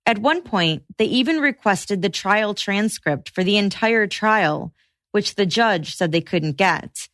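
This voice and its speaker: A woman hosting a podcast, reading scripted true crime narration in a measured, matter-of-fact way. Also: medium pitch, expressive, fairly bright tone, no echo, studio quality.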